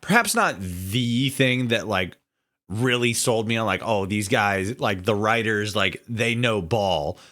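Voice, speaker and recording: A man in a casual podcast conversation, speaking haltingly as he searches for words and repeats himself. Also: lowish voice, expressive, sharp tone. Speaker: podcast guest, audio drama writer. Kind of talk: casual chat.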